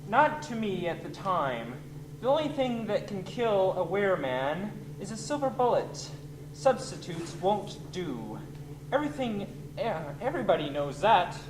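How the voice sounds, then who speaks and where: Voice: medium pitch, very animated, unhurried. An actor playing a grumpy, sardonic wereman on the witness stand, dry and impatient, in a radio comedy. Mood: matter-of-fact. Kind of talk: old time radio comedy acting. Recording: echoey room with clear noise.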